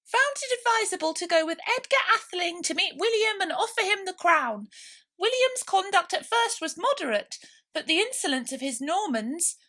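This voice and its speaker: A woman's voice: very high-pitched, very animated, normal speed, bright, forceful tone, pitched above the performer's own voice, heavily acted. A woman voicing a self-important, bossy storybook mouse who lectures formally and gets cross easily. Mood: pompous.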